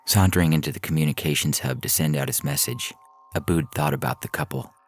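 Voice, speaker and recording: A man's voice: extremely low pitch, expressive, fast talker, creaky, slightly rough, soft background music. A man reading a science fiction story as narrator in a scripted audio fiction style.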